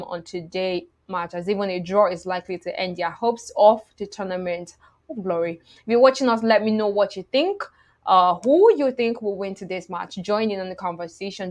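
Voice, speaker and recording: A woman hosting a football show, reading scripted match previews and putting questions to viewers and guests. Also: medium pitch.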